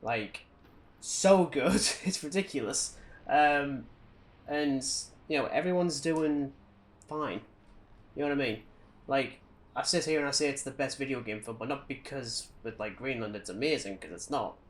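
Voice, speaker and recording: A man speaks as a podcast co-host in a casual, conversational style. His voice sits at a medium pitch, and he gives his opinions bluntly. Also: moderate pace. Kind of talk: casual film and game chat.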